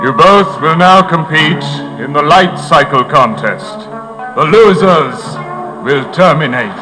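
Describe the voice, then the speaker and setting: An actor playing a fierce, cruel warrior program who gives orders in an imperious way on a children's story tape. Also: male voice, on the high side, over music, rough recording. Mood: imperious threat. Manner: slow, imperious, forceful.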